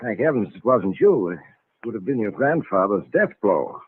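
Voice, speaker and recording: An actor playing a courteous, solemn family attorney in a vintage radio drama, formal and slightly hesitant. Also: male voice, fairly low pitch, sing-song, breathy edge, no echo. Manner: formal, hesitant with small pauses. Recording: tinny, noisy vintage radio recording.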